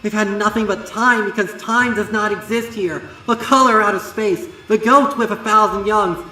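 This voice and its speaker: A man's voice: high voice, normal speed, sharp tone, pitched above the performer's own voice. Man voicing Twilight Sparkle, an awestruck, frightened pony mare. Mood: frantic. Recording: echoey, distant, music behind.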